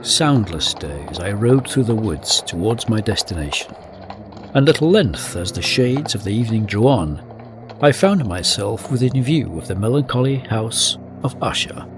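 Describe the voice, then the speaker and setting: A man's voice: lowish voice, very animated, moderate pace, background noise. An actor playing a brooding gothic narrator, telling his tale of dread in an ominous, literary manner. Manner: ominous, literary, hesitant for effect. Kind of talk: dramatic scripted narration.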